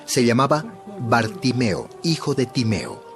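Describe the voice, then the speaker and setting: A man narrating a dramatized audio Bible as a scripted, clearly phrased story. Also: mid-range voice, sing-song, unhurried. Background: music.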